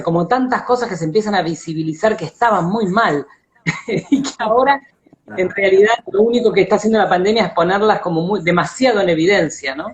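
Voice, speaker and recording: An Argentine man in a casual live online discussion, halting and repeating words as he searches for phrasing, on lo-fi stream audio. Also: high-pitched. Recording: dull lo-fi internet stream audio.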